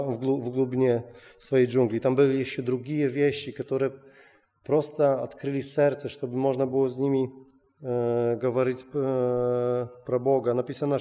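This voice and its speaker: A man telling a missionary testimony, halting with many restarts. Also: mid-range voice, mellow. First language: Russian. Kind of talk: personal testimony. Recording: long hall reverb.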